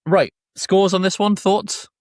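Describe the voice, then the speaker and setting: A man's voice: sits high, fairly even intonation, a little slow, darkish tone, no room sound, studio quality. A man hosting a casual review podcast, talking in an explanatory way and often correcting himself as he goes. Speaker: podcast host. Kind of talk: casual review chat with plot summaries.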